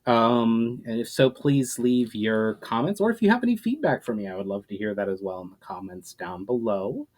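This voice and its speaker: A man's voice: mid-range voice, big pitch swings, moderate pace, few pauses, darkish tone. A man with a mid-range voice hosts a storytime as the author. He presents his own fantasy fiction to listeners. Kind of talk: dramatic scripted narration, casual sign-off.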